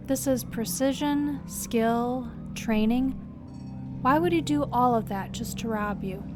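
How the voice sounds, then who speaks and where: A woman's voice: on the high side, takes it slowly, background noise. A woman playing a tough, sardonic police captain who questions relentlessly in an audio drama. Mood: probing. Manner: sarcastic, probing, unflinching. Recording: music behind the voice.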